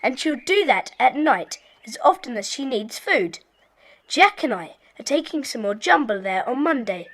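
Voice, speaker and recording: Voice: high voice, big pitch swings, normal speed, fairly bright tone, exaggerated voice. A performer playing a bossy, decisive British schoolboy club leader in a children's audio drama, speaking emphatically. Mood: firm. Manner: emphatic, commanding.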